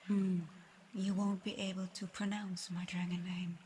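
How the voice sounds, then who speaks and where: A woman plays a proud dragon girl in human form, guarded and easily flustered. She speaks formally and slowly, in a narrow, even line that can catch into shy stammers. It is an intimate ASMR roleplay, with clear ambient noise behind the voice. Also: fairly low pitch, lightly acted. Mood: softened, earnest. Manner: halting, stammering when flustered, otherwise measured and formal. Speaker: audio roleplay performer.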